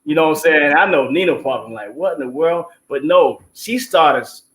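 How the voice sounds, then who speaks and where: High-voiced man giving informal, devout testimony. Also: fairly quick, fairly bright tone. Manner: informal, emphatic, devout, punctuated with 'you know what I'm saying'. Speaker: stage play producer and guest. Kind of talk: testimonial talk on an online show.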